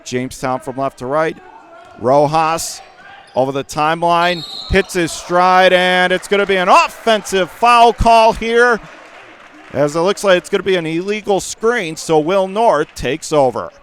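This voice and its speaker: A man calling high school basketball play-by-play for radio, rapid and rising to shouts on big plays. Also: a little hiss or hum. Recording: radio broadcast call, ball bounces behind.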